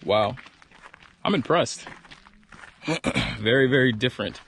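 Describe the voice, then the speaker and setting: American man vlogging outdoors in clear noise. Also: mid-range voice, takes it slowly, fairly bright tone. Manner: friendly, informal, talks with slang. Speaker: vlog host. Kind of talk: casual vlog chat. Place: outdoors in the open. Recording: noisy outdoor recording with vehicle sounds.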